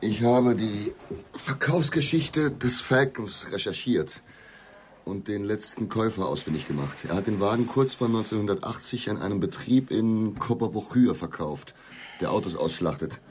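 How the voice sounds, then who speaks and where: An actor as a methodical male detective in a radio play. He has a very deep voice and a sober, probing delivery, with faint ambience behind. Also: lively intonation, moderate pace, darkish tone, a little hiss or hum, natural voice. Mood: matter-of-fact. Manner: probing, matter-of-fact. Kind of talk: German radio drama acting.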